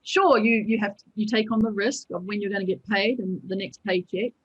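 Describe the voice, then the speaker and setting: A woman's voice: medium pitch, brisk, slightly rough, muffled recording. A woman entrepreneur answering interview questions on a video call in a reflective way, restarting her sentences as she thinks. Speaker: entrepreneur, former lawyer.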